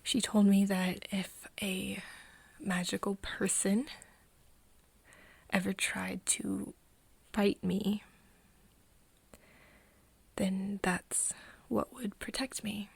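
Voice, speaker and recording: A woman playing an earnest young woman confiding in a friend in an ASMR roleplay, speaking softly and haltingly, often in a whisper. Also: medium pitch, steady tone, no room sound, exaggerated voice. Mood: solemn, hushed. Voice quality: soft, breathy, often whispering. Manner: halting, hesitant confiding with pauses. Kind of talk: ASMR roleplay, intimate one-sided conversation.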